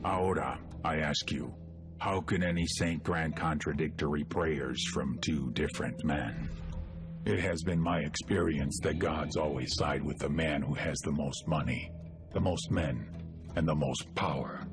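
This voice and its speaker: A man playing a cold, calculating cartel boss, delivering a measured, menacing game monologue in a gravelly voice. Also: extremely low pitch, music in the background. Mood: brooding, menacing.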